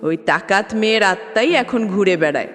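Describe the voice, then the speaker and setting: A woman's voice: medium pitch, expressive, moderate pace, fairly bright tone, pitched below the performer's own voice, natural voice. Lady doing an old village uncle in a spooky story, grave and cautioning, lots of reverb, music. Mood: grave warning. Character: grave elderly village man, warning and superstitious. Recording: long reverb, clear background music.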